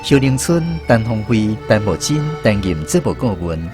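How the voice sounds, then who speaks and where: A man's voice: fairly low pitch, big pitch swings, moderate pace. A man with a Taiwanese accent presenting a radio programme as host, reading in a scripted style. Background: music.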